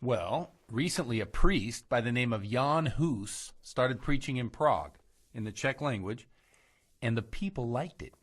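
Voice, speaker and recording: An actor playing a worldly, roguish village priest with a theatrical streak in a medieval video game. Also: male voice, medium pitch, expressive, a little slow, slightly rough. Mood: chatty, amused. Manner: conversational, theatrical when preaching. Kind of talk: dramatic video game dialogue.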